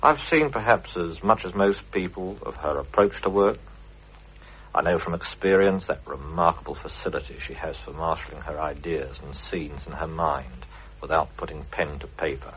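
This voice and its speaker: A very low, rough-edged male voice with a British accent. He delivers a prepared radio reminiscence in an urbane, anecdotal manner, heard through a muffled old recording. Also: normal speed, fairly bright tone. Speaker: guest contributor, theatre producer.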